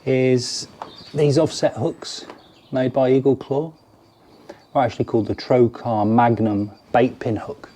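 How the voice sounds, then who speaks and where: Relaxed British presenter in his forties, mellow tone, chatty hands-on product walkthrough. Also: mid-range voice, a little slow. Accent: British English. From United Kingdom. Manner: chatty, hands-on, explains while fiddling with lures. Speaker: fishing tackle presenter. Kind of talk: casual product demonstration to camera. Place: garden cabin or shed, indoors.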